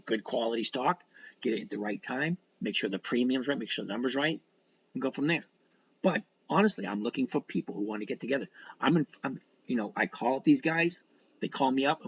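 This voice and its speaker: A man's voice: mid-range voice, slightly rough, lo-fi sound. A man of sixty chatting casually as a livestream host, reading out and answering comments in a blunt, folksy, rambling way with some mild swearing. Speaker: livestream host and stock trader.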